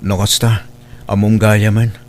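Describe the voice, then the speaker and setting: A man's voice: on the low side, flat delivery, measured pace, a little mellow, a little hiss or hum, natural voice. An actor playing an earnest adult son and father in an Ilocano radio drama, with a plaintive delivery. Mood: regretful.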